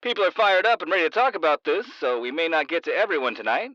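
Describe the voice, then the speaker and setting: A man's voice: a little high, expressive, quick pace, sharp tone, no room sound, lo-fi sound. An actor playing a brash talk radio host with showman patter, in a radio drama. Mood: animated.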